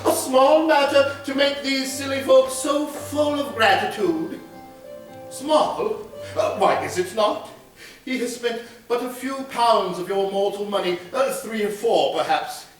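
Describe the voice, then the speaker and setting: A man voicing a childlike ghostly spirit that speaks in measured, formal pronouncements. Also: very high-pitched, very echoey, distant mic, faint music behind, pitched above the performer's own voice, rough recording. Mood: solemn. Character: otherworldly childlike spirit, calm and solemn.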